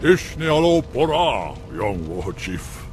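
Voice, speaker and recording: Noble old tauren chieftain, game cinematic, gravelly rumble, deliberate, grave, music behind. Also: male voice, sing-song, a little mellow. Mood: solemn, resolute. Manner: solemn, deliberate, stately. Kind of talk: video game cinematic acting.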